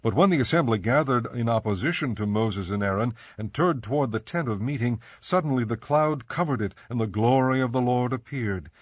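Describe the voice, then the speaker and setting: A man narrating a dramatized Bible audiobook in a measured, solemn storytelling style. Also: medium pitch, expressive, dry recording, lo-fi sound. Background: faint music.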